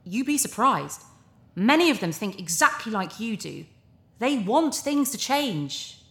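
Cynical, worldly TV presenter, sci-fi audio drama. Breezy persuader with swooping intonation, echoey room. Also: female voice, fairly high pitch, sing-song, unhurried, a bit bright, heavily acted. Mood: coaxing, persuasive. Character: glib, cynical, charming female TV propaganda presenter. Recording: roomy, echoing sound.

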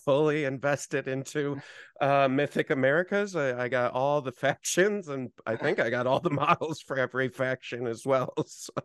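A man's voice: medium pitch, moderate pace, a bit bright, smooth, no room sound, clean studio sound. A man hosting an interview, asking questions in a chatty way with plenty of fillers. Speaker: podcast host. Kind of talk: casual interview talk.

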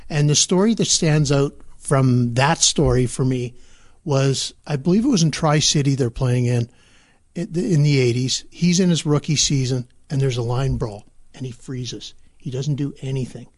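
Male sports reporter guest, measured storyteller. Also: medium pitch, a little mellow. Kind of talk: casual podcast interview.

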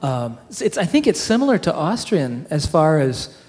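A man's voice: a little high, big pitch swings, moderate pace, a little mellow, in a large hall, soft background music. A man co-hosting a casual interview, chatty and self-deprecating as he asks his questions. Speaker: radio co-host.

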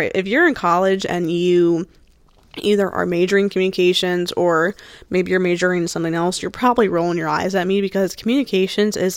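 A woman's voice: on the low side, dry recording, studio quality. A woman in her early twenties from New Jersey, hosting her own podcast in a rambling, chatty way full of fillers and restarts. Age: 20s. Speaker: podcast host. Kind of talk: casual solo podcast chat.

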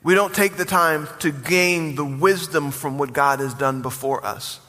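A forty-year-old man preaching in a high, bright voice, his words building in emphasis and calling out to the congregation. His sermon rings through a church sanctuary with a long hall reverb trailing behind it. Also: a little slow, soft background music. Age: 40s. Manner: preaching with rising emphasis and call-and-response. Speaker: pastor.

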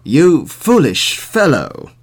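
A stern sheriff acted out by a male storyteller speaks in drawn-out, emphatic phrases. He sounds incredulous and scolding, with very lively swings of pitch. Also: heavily acted. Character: stern, incredulous sheriff questioning foolish townsmen.

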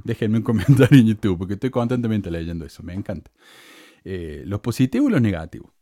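A middle-aged man with a Cordoba Argentine accent speaks in a dry, close-miked sound. His pitch swings widely as he gets sarcastic and indignant, with sharp emphatic peaks. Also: very animated, fast talker, no echo. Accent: Cordoba Argentine Spanish. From Córdoba, Argentina. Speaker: channel host. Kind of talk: casual monologue to camera.

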